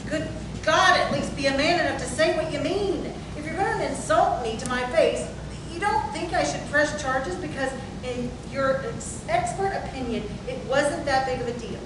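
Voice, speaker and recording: Casting: actress as scornful, sarcastic female boss in a stage drama, high-pitched, far from the mic. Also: normal speed, lightly acted. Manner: biting, sarcastic. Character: indignant, sarcastic boss who was attacked. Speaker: actor at a play table reading. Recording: echoey room from far off, noisy rumble.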